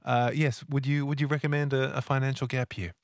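Brief: Australian breakfast radio host, relaxed chatty delivery, dry close studio mic. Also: male voice, mid-range voice, fairly quick, dry recording. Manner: easygoing, chatty questions with stumbles. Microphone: studio microphone.

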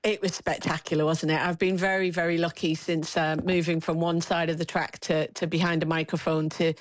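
A middle-aged woman with an English accent, a former athlete talking as a sports pundit in a chatty, halting way that repeats words. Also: low voice, level tone, no room sound.

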